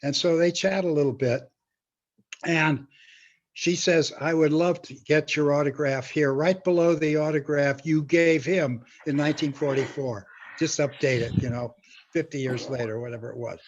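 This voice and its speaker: A man's voice: a little high, steady tone, normal speed. An older man telling a casual, rambling show-business anecdote, acting out the quotes as he goes. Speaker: storyteller, show-business veteran. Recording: dull, lo-fi sound.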